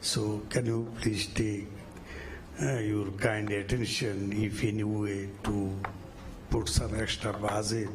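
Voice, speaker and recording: A man's voice: lowish voice, level tone, takes it slowly, background noise. A man from Bangladesh with a Bangladeshi accent and Bengali as his first language, politely pleading his question at a forum. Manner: polite, pleading, non-native phrasing. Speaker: audience member asking a question.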